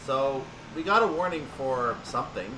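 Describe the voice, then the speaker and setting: A man's voice: normal speed, far from the mic. Roomy, off-mic man doing gameplay commentary. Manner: explanatory, thinking aloud. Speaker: gaming video host. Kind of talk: unscripted gameplay commentary on a train simulator. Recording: roomy, off-mic, steady hum and rumble.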